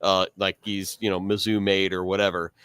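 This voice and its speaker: A man's voice: deep voice, level tone, normal speed, a bit bright, clear, resonant tone, no echo, studio quality. A man co-hosting a casual sports podcast, rambling through his points with restarts. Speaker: podcast co-host, coach.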